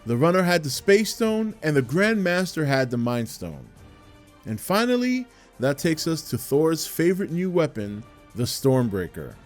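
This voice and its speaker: A man's voice: a little high, big pitch swings, measured pace. A man hosting a video with scripted narration. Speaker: video host. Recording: music behind the voice. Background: music.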